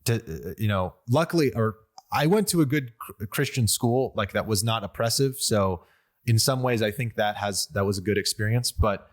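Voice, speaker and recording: Young man, low voice, hesitant, first language Armenian, casual podcast host. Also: normal speed. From United States. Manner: hesitant, restarts often. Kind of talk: casual conversation.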